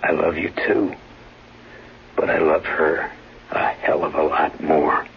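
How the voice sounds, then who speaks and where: An actor playing a weary, anguished husband, speaking in a grave, clipped way in a 1970s radio drama. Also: male voice, extremely low pitch, fairly even intonation, takes it slowly, gravelly, exaggerated voice. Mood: dismayed, firm. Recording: dull 1970s radio recording, faint noise.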